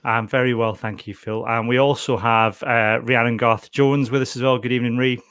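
A man's voice: mid-range voice, fairly even intonation, moderate pace, fairly bright tone, smooth, dry recording. A man, hosting a podcast in a casual, chatty way. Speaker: podcast host. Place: indoor room at home on a video call. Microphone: close desk microphone.

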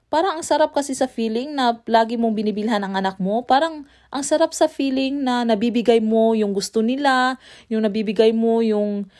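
A woman's voice: a little high, moderate pace, darkish tone. A woman in her thirties narrating a family vlog voice-over, chatty and candid, with sighing asides. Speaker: vlogger. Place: home, recorded as a voice-over.

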